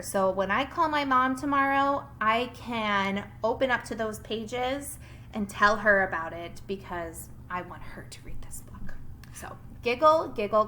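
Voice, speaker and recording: A woman teacher giving a read-aloud lesson to young children, expressive and instructive, thinking aloud as she goes. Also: fairly high pitch, slight background noise, rough recording. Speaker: primary school teacher.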